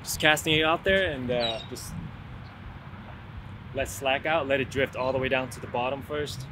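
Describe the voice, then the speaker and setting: A young man vlogging while fishing on an outdoor sea pier, chatty and excited, talking to viewers into a camera mic. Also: mid-range voice, normal speed, noisy background. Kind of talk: casual vlog narration while fishing. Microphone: camera-mounted shotgun mic.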